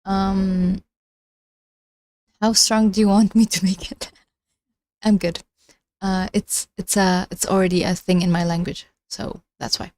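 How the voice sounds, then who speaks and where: Young woman, english not her first language, giggly rambly stream chat off her phone, mid pitch, dry close sound. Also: flat delivery, unhurried, long pauses, darkish tone, dry recording. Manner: chatty, giggly, rambling with many restarts. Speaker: livestreamer. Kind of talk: casual live-stream chat.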